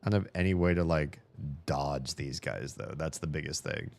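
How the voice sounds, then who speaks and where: A young man giving casual, profane running commentary while playing a video game, his voice slightly hoarse. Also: a bass voice, moderate pace, a little mellow. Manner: chatty, swears a lot, talks to himself while playing.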